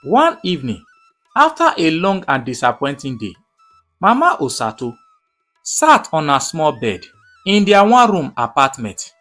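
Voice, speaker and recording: A woman narrating a scripted folktale in a dramatic, measured way, phrase by phrase. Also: deep voice, sing-song, a bit bright. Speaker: storyteller, narrator. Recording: music under the voice. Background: background music.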